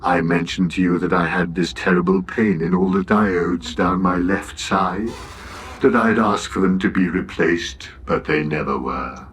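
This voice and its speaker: A man's voice: fairly even intonation, measured pace, fairly bright tone, a little hiss or hum. A man playing an ancient, worn-out robot in a radio comedy drama, weary, doleful and sardonic, full of gloom and self-pity. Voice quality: low, weary drone.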